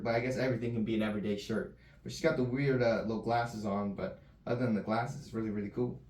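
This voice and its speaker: A man chatting casually in a loose, rambling vlog, his voice a little raspy. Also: mid-range voice. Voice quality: slightly raspy. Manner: loose and rambling, full of fillers. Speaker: vlogger. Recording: echoey room, distant mic.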